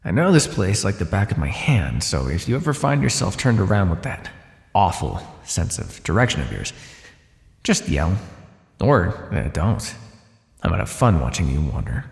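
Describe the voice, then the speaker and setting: A man's voice: low-pitched, big pitch swings, moderate pace, creaky, full character voice. A man voicing a bored, teasing, menacing naga predator in an ASMR fantasy roleplay, speaking with casual, dry condescension. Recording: echoey, long cave-like reverb.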